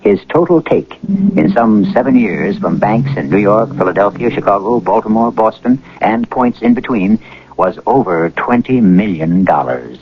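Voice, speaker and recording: Male radio mystery host: scripted, dramatic, emphatic, expressive pitch, dull vintage broadcast sound. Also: normal speed, slight background noise. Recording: muffled old radio broadcast.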